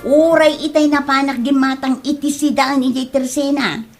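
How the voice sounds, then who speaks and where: A woman's voice: high voice, steady tone, moderate pace. A woman with an Ilocano accent playing an indignant wife passing on gossip in a comedy audio drama. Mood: gossipy. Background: faint music.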